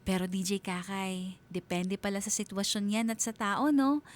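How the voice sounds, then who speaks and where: A woman narrates a scripted radio drama at a medium pitch. She tells the story in a confiding, reflective way, as dramatic first-person storytelling. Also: moderate pace.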